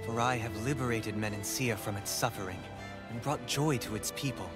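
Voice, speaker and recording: An actor voicing a courteous, self-assured lord in a video game, speaking formally and eloquently in measured phrases. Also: male voice, lowish voice, lightly acted. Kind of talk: video game cutscene acting. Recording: loud music behind the voice. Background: music.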